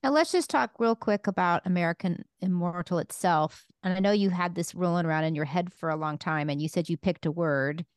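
A woman's voice: lowish voice, brisk, hardly any pauses, smooth. A woman asking casual, conversational questions as a podcast interviewer.

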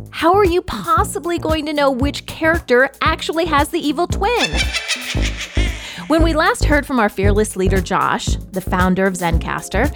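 A woman hosting and narrating a podcast, playful and teasing, laughing often between questions. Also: on the high side. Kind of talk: scripted narration mixed with interviews.

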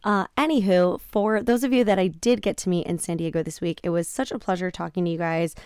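A woman hosting a podcast solo, chatty and informal, with a slightly raspy, croaky voice, giving advice in an emphatic way. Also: lowish voice, steady tone, a little fast, a little mellow, no room sound, studio quality. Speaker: podcast host.

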